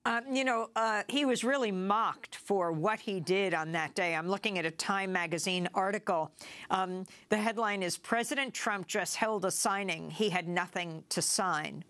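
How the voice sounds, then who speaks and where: An American woman hosts a news program in a medium-pitched voice. She reads reports and articles in a presenter's style and puts questions to guests. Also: normal speed, a bit bright. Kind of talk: news presenting and interviewing, partly reading from script and articles.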